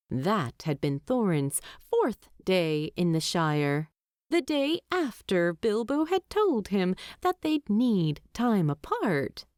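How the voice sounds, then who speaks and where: A woman narrating fiction from a script as an audiobook narrator, in a measured, expressive storytelling manner. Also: on the low side, no room sound, clean studio sound.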